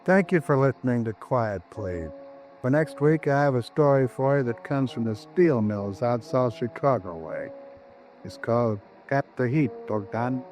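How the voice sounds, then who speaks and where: A man's voice: medium pitch, animated, measured pace, a little mellow, soft background music. A man, a writer-director, giving a brief preview address to listeners on a 1940s radio show.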